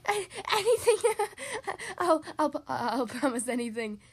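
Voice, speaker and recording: A woman voicing a huge, blustering male dragon in a children's story, booming and theatrical. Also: expressive, a little slow, vocal fry, sharp tone, heavily acted. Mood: helpless giggling, pleading. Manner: big, exaggerated, theatrical.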